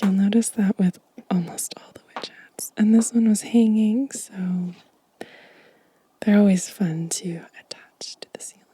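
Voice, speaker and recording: A woman softly whispering as an ASMR host, gentle and unhurried, with a breathy voice close to the microphone. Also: mid-range voice, no room sound. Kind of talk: soft-spoken whispered ASMR show and tell.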